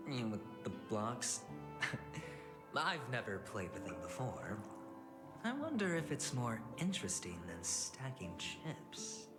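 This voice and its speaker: A flamboyant young gambler from a video game, teasing and theatrical. He talks slowly and swoops up and down in pitch, with clear music playing underneath. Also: huge pitch swings. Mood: amused, curious.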